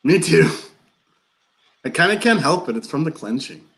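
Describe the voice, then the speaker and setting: A man's voice: on the high side, big pitch swings, a bit bright. Guy acting a nervous awkward dude in a play reading, stumbles along and overexplains everything. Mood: sheepish, earnest. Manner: halting, nervous, over-explaining. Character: awkward, rambling man confessing a long-held crush. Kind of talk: live play reading, naturalistic dialogue.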